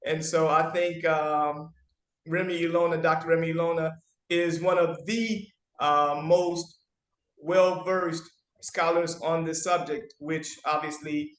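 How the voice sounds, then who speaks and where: A man hosts an interview in a halting, formal way, pausing often between phrases. His voice sits a little higher than most men's. The room around him rings with a noticeable echo. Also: fairly even intonation, a bit bright. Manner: halting, with frequent pauses. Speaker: interview host.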